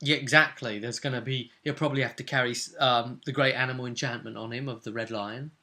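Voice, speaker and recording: A man with a bright, forward voice acts as game master, chatting through a tabletop role-play story. He speaks haltingly, circling back to repeat phrases and stress his points. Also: mid-range voice, fairly even intonation. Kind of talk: casual recap of a tabletop role-play session.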